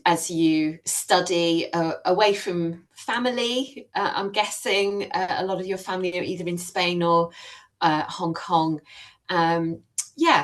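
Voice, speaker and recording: A woman interviewing two students about their faith, encouraging and affirming, with frequent fillers. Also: lowish voice. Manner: warm encouraging, many fillers. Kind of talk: church testimony interview.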